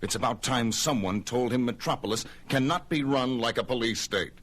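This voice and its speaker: Guy doing a resolute superhero newsman, sharp husky voice, authoritative cartoon delivery. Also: medium pitch, normal speed, lightly acted. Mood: determined. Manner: firm, declarative.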